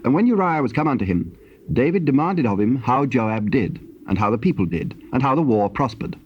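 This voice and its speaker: A British man narrates King James scripture with solemn, measured delivery. His voice is medium in pitch. The recording is an old cassette, slightly dull and hissy. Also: big pitch swings.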